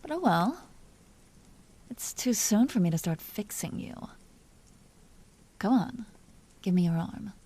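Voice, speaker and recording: Vampire duchess, played by a woman: seductive, imperious and teasing, dark soft tone, unhurried, intimate audio roleplay with whispered phrases. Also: medium pitch, expressive, exaggerated voice. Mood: calmly threatening. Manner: slow, breathy delivery dropping often into whispers. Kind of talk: scripted audio roleplay, intimate monologue to listener.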